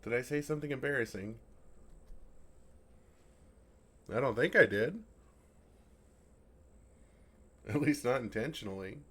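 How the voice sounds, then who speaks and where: A man playing a gentle, affectionate, innocently oblivious dragon in an intimate, earnest ASMR roleplay. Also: medium pitch, lively intonation, fast, husky. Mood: playful, earnest. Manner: earnest, softly teasing, innocently puzzled.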